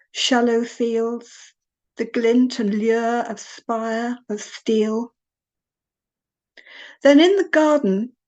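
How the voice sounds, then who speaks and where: A woman reading poetry aloud, deliberately, phrase by phrase. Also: fairly high pitch, darkish tone. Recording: dull, lo-fi sound.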